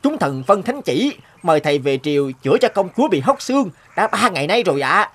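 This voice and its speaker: A man's voice: sits high, sing-song, fairly quick, fairly bright tone, heavily acted. A man voicing a formal, insistent royal envoy in a cartoon folk tale. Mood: courtly. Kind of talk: animated folk tale voice acting. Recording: music bed behind the voice.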